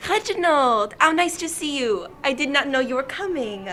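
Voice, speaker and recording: A woman's voice: high voice, expressive, moderate pace, background noise. A woman playing a charming, worldly French society hostess in a radio drama, coquettish and playful. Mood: flirtatious. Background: music.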